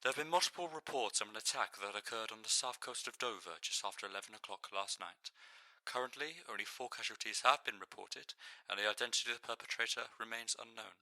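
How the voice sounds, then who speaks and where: Brisk, very bright male newsreader. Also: fairly low pitch, no echo, poor audio. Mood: grave, formal. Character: formal male evening news anchor. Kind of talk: scripted news reading.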